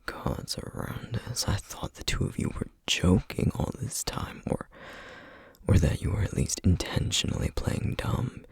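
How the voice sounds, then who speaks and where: A man playing a solemn, weary, duty-bound flame demon in an ASMR roleplay, whispering breathily and haltingly. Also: low-pitched, lively intonation, darkish tone, dry recording, studio quality, exaggerated voice. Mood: taken aback. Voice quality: breathy, husky whisper with gravelly edges. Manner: slow, halting, measured delivery with heavy pauses. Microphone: close microphone, dry sound.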